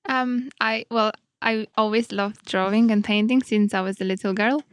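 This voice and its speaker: A woman of thirty speaks in a smooth, resonant voice. She tells her story calmly in simple sentences. The sound is close and dry. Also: medium pitch, normal speed, no echo. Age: 30s. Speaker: artist, panel guest. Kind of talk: casual storytelling.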